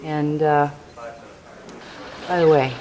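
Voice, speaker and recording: An actress playing a tough young resistance tech in a sci-fi game, talking in a terse, casual, hard-bitten way. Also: female voice, low voice, fairly even intonation, takes it slowly, warm tone, poor audio. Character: tough, curt female resistance tech fighter. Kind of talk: scripted game cutscene acting.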